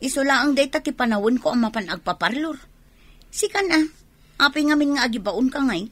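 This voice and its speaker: An actress playing a self-centred, complaining sister in a radio drama, dismissive and full of excuses. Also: female voice, high-pitched, expressive, fairly quick, dry recording. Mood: peevish. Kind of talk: Filipino radio drama acting.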